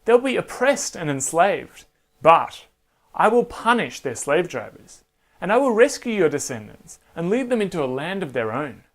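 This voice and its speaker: A man voicing God as a solemn, commanding deity in a children's Bible story, speaking in measured, authoritative phrases. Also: fairly high pitch, very animated.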